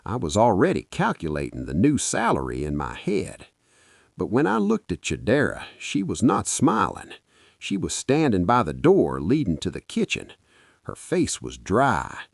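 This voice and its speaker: A man's voice: lowish voice, huge pitch swings, a little slow, gravelly, husky, no echo, studio quality. A man with a Nigerian accent narrating a folktale dramatically in the first person, as a podcast storyteller.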